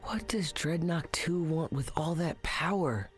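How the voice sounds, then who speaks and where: A man's voice: a little high, a little slow, lightly acted. A man voicing a wisecracking young male space captain in a video game, breezy and joking. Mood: cocky. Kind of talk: video game cutscene acting. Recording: game cutscene with loud music behind.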